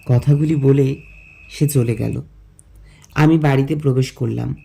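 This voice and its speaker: A man narrating a scripted first-person story in measured phrases. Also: medium pitch, fairly even intonation, creaky, warm tone, husky. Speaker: narrator and lead character.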